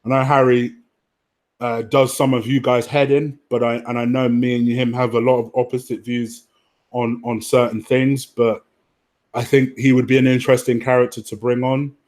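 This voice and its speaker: A man's voice: mid-range voice, level tone, normal speed, a little mellow. A man hosting a football fan livestream, chatting informally and matter-of-factly to his viewers in a rambling, sweary way. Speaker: host of a football fan show.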